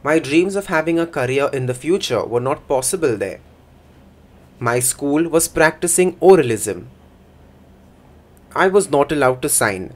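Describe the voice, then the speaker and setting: A man voicing a first-person interpretation for a signing speaker in a plain, measured way. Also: on the high side, animated.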